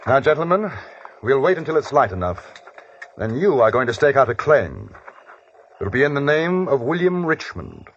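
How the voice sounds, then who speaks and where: An actor playing a composed, wry English newspaperman in a radio western, with an English accent and measured, dryly ironic delivery. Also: mid-range voice, wildly swooping pitch, a bit bright, a little hiss or hum. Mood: cool, commanding. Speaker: lead actor and narrator. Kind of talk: 1950s radio western acting and narration. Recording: muffled vintage radio recording with music.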